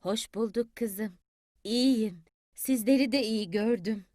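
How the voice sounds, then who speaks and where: Woman voicing a soft old grandmother hen. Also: on the high side, takes it slowly, no echo, studio quality, voice pushed down. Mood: warm.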